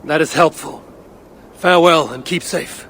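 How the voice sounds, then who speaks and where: An actor playing a proud, dryly witty Norse god warrior in a video game, speaking in a measured, commanding way. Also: male voice, on the high side, fairly bright tone, slight background noise. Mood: earnest, calm. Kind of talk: video game cutscene acting.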